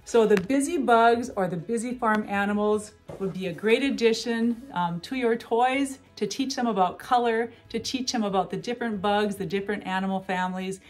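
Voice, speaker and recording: A middle-aged woman demonstrating educational toys at a table in a small room, explaining each step clearly and cheerfully, with faint music behind her. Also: medium pitch, normal speed, hardly any pauses. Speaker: toy seller demonstrating products. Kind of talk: product demonstration.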